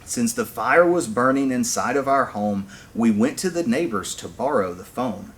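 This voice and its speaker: A man reading a rhyming picture book aloud to children in an expressive, deliberate storytelling manner. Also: mid-range voice. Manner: animated storytelling, deliberate phrasing.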